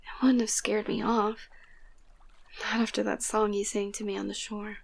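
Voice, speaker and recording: A woman playing a tender, excitable young princess in love in a romantic ASMR roleplay, talking haltingly and often slipping into a whisper. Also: medium pitch, creaky. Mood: loving.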